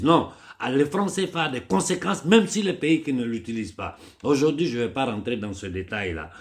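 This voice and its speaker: A man with a stuffy voice from a cold, giving an urgent, impassioned monologue as a political commentator. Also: medium pitch. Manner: urgent, builds up with rising force.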